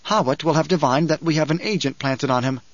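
A sly adviser, voiced by a male narrator, lays things out precisely in a slightly high male voice. The delivery is measured and smug, in audiobook style, on a very dry recording. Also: no room sound, muffled recording, lightly acted. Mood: smug scheming. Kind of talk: audiobook character acting.

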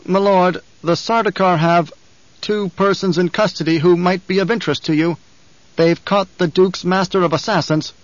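Brief: a man voicing an edgy, drug-dulled captain who blurts out answers to his master, pitched up high. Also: fairly even intonation, no room sound, lightly acted. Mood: anxious. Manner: eager, hurried, deferential. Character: nervous male guard captain, drug-dulled, flustered. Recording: dull, dry studio recording.

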